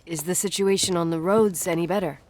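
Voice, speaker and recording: A woman's voice: on the low side, brisk, a little mellow, natural voice. An actress playing a guarded, dry-witted young woman in a naturalistic video game performance. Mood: uneasy, questioning.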